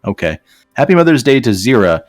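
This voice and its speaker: A man's voice: mid-range voice, big pitch swings, brisk, soft background music. A man co-hosting a casual comedic reaction session, swearing freely and mocking what he reads out with sarcasm. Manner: profane, sarcastic. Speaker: co-host reacting to fan posts. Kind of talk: casual comedic banter, mocking read-outs.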